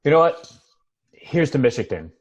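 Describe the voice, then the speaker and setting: An actor reads a reserved middle-aged man in a drama script. His delivery is halting and understated. The voice is slightly husky and the recording sounds dull and muffled. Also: a little high, very animated. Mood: wry. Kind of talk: table read of television drama.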